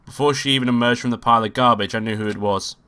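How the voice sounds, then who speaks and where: A man's voice: mid-range voice, fairly even intonation, rapid-fire, sharp tone, clear, resonant tone. A man with a cold and a nasal voice, hosting a let's play and reading out a visual novel with casual, swearing asides. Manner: swearing, offhand asides between read lines. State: sick and nasal. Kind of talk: casual let's play commentary and read-aloud. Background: game music.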